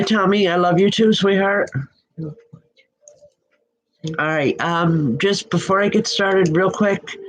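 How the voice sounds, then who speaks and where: A woman's voice: lowish voice, low-quality recording. A woman from the Northeastern US giving a live-streamed spiritual reading as a psychic medium. She has a nasal head cold and talks in a chatty, affectionate, rambling way with many fillers.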